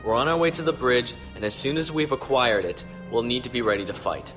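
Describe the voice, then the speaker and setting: An actor playing a steady, courteous starship captain in a science fiction audio drama, crisp and authoritative. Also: male voice, mid-range voice, brisk, lightly acted. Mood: composed, wry. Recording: faint music behind.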